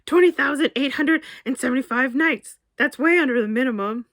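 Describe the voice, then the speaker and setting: A woman voicing a loud, hokey, boastful male lawyer ghost in a short story, brash and excitable. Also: high voice, fairly quick, dry recording, studio quality, pitched above the performer's own voice. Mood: indignant. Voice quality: loud fake hokey laugh. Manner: brash, stuttering with excitement, repeats himself.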